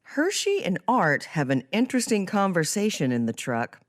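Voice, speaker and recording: Low-pitched woman podcast host, lively intonation. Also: normal speed. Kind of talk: scripted podcast interview.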